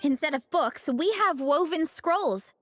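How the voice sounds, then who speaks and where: Sociable young woman, high pitch, upbeat, easygoing, breezy storytelling manner, video game dialogue. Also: expressive, measured pace, fairly bright tone, a little hiss or hum. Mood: cheerful, reminiscing. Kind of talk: English video game voice acting.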